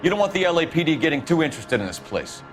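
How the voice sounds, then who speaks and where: An American police detective from a 1940s crime game. His delivery is authoritative and official, with a lively pitch that moves around a lot. Music is clearly heard underneath. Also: rapid-fire. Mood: pressing, stern. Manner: clipped, official questioning. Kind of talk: dramatic game acting, period crime story.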